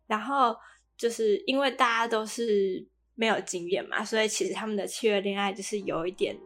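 A woman's voice: medium pitch, brisk, a bit bright. A woman with a Taiwanese Mandarin accent co-hosting a podcast, casually recapping a drama in a chatty, explanatory way. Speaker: podcast co-host.

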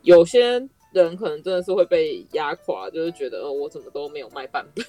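A woman's voice: deep voice, animated, fairly quick, dark tone, faint music behind. A woman with a Taiwanese Mandarin accent chatting casually as a podcast host.

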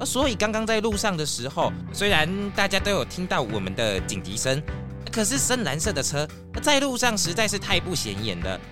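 A man's voice: sits high, big pitch swings, brisk, music in the background. A man playing a polite, professional paramedic in a children's audio drama. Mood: apologetic.

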